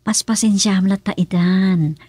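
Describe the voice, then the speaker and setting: A woman's voice: medium pitch, measured pace, dark tone, breathy edge, no room sound. A woman playing a concerned family member in a radio drama comedy, with an Ilocano accent.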